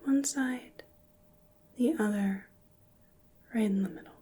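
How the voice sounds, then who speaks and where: A woman in her twenties speaking in a gentle, breathy whisper, carefully giving instructions in a soft-spoken ASMR medical roleplay. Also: a little high, slow. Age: 20s. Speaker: ASMR performer playing a hearing examiner. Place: small indoor room. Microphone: close microphone, just off camera.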